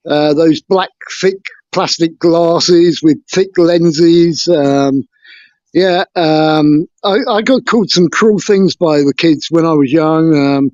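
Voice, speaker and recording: A man's voice: fairly high pitch, a little mellow, no room sound, highs cut off. A man from England, talking frankly and hesitantly about his life as an interview guest over a video call. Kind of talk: casual interview talk.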